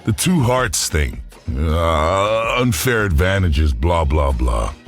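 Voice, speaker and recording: An actor playing a huge, brash and jovial cyborg warrior in a video game, with a boastful, playful swagger. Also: male voice, sits low, animated, slow, soft background music. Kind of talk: video game character voice acting.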